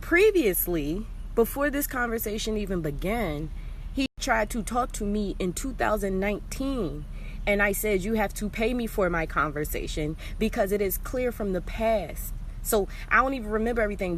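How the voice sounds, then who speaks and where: A woman's voice: medium pitch, lively intonation, normal speed, a little hiss or hum. A young woman telling a personal story in a casual, chatty way, candid and slangy, with emphatic repeated phrases. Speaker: storytelling vlogger.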